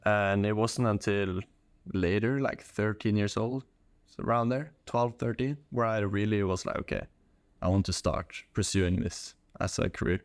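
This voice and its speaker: A young man speaks in a low voice with a dry, close sound. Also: normal speed, no room sound. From Norway. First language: Norwegian. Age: young adult. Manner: informal, slangy. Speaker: podcast guest, college soccer player. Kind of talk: casual interview chat.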